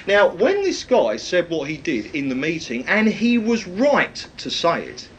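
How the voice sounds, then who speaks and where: A man with a loud, projecting voice, preaching a rambling, anecdotal sermon with many fillers. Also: a little high, huge pitch swings, a little slow, lo-fi sound, a little hiss or hum.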